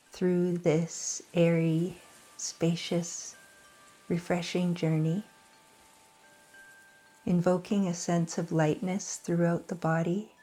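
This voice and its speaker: Calm woman leading yoga nidra, low-pitched and unhurried, gentle pauses. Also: rough recording. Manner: slow, soft, soothing delivery with long pauses. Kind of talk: guided meditation.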